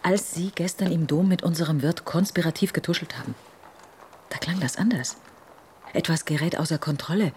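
An actress in a radio drama playing a sharp, insistent woman who challenges people with blunt suspicion. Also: sits low, moderate pace, close to an everyday voice. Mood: suspicious, probing. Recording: clear ambient noise behind voice.

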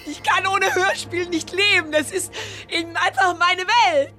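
A woman with a thin, very high voice speaking in a short testimonial clip. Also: steady tone, unhurried. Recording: music behind the voice.

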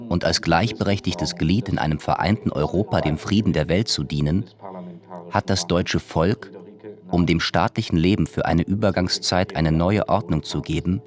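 A man reading documents formally as an announcer, in a solemn and measured manner. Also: deep voice. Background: music.

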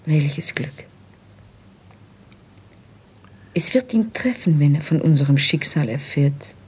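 A tender wife resigned to her fate in a literary radio play. Her voice is low and mellow, with heavy breath in it. She speaks solemnly, as if to one beloved person. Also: expressive, moderate pace, heavily acted. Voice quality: breathy, hushed. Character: tender wife facing arrest, resigned, loving, weary. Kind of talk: literary radio drama acting.

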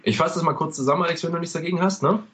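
A man's voice: fairly high pitch, racing, fairly bright tone. A man from eastern Germany co-hosting a casual podcast, explaining at length with many fillers. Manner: chatty, many "ähm" fillers, loose rambling explanations. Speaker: podcast co-host. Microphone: home microphone over a call.

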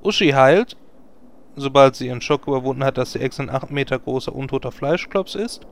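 A man's voice: medium pitch, fairly quick, clear, resonant tone, close to an everyday voice. A man playing a wry, sarcastic raid leader handing out orders in a German parody audio play. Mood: brisk, commanding.

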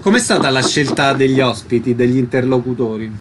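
A man's voice: medium pitch, fairly quick, a little hiss or hum. A man hosting an arts and culture radio interview, asking the questions.

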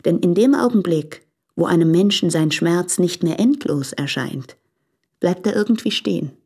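An actress playing a tender, regretful woman addressing her former lover in a radio play, speaking in a reflective, restrained, deliberate way. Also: lowish voice, lively intonation, warm tone, husky. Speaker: radio drama actor. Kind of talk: intimate literary German radio play monologue.

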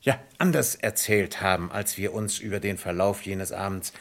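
A low-voiced police commissioner in an old German radio crime play. He is courteous and methodical, putting his questions with deliberate politeness and thoughtful hums. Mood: dryly insistent. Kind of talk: vintage German radio crime drama acting.

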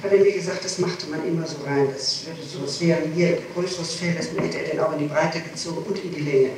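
A woman's voice: low-pitched, fairly quick, a little mellow. Echoey, distant tape of a woman under questioning. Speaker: person being questioned by a prosecutor. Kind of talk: answering questions in a formal interrogation. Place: reverberant room, interrogation recorded on tape. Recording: echoey archival tape, distant mic, hiss.

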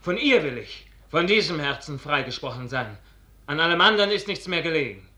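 A man's voice: fairly high pitch, big pitch swings, fairly quick, bright, forceful tone. A man acting an impassioned young noble officer, anguished by love, fervently declaiming verse in a 1950s radio drama. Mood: wounded, reproachful. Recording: echoey 1950s radio recording.

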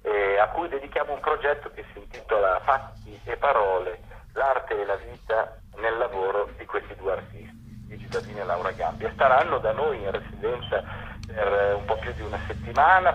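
A man speaking as a radio guest, explaining a theatre programme in an interview. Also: fairly low pitch. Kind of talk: spoken interview, presenting a programme. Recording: dull lo-fi phone call, faint noise.

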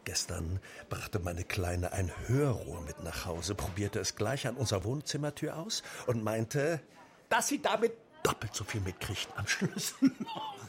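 An actor playing a jovial, foolish, over-eager Party busybody in a radio drama, with a blustering, chummy way of talking. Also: male voice, lowish voice, wildly swooping pitch, unhurried, fairly bright tone, heavily acted. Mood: proud, gleeful.

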